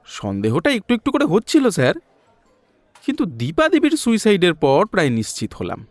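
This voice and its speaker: An actor playing a chatty, deferential Bengali detective who explains things point by point in an audio story. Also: male voice, high voice, huge pitch swings, moderate pace, a little mellow, dry recording, exaggerated voice. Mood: reassuring.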